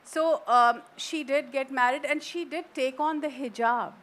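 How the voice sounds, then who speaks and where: North Indian woman author, high voice. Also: measured pace, fairly bright tone. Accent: North Indian English. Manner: thoughtful, digressive, many restarts. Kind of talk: literary festival panel talk. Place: festival stage.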